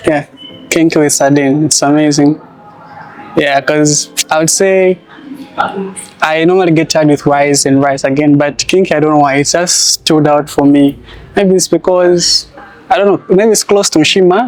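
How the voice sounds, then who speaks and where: A man's voice: fairly high pitch, steady tone, normal speed, darkish tone, low-quality recording. A young man with a Zambian accent, answering casually as a student in an interview. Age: young adult.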